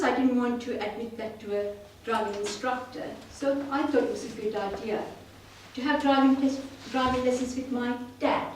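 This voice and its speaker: A woman's voice: on the high side, a little hiss or hum. Woman speaking in a roomy hall. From Sri Lanka. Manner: earnest, self-deprecating storytelling. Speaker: club speaker. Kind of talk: prepared speech. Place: meeting room. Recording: roomy hall, mic at a distance.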